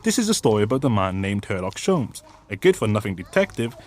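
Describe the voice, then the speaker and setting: A man's voice: lowish voice, big pitch swings, fast, a little mellow. An actor playing a sardonic, theatrical male narrator who mocks the hero in a scripted comic radio drama. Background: music.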